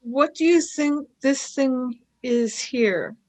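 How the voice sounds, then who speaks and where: A woman's voice: high voice, takes it slowly. A woman playing an eager, nervous young female companion in an amateur radio play. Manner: wondering, anxious questions.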